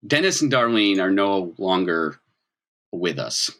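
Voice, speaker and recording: A man's voice: low voice, very animated, measured pace, a bit bright. A man improvising narration as game master of a tabletop game, explaining scenes in a conversational way. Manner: conversational, explanatory, checks in with "right?".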